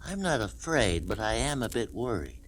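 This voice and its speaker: A man's voice: on the low side, big pitch swings, moderate pace. An actor playing a sensible, polite, earnest boy piglet on a 1960s children's storybook record.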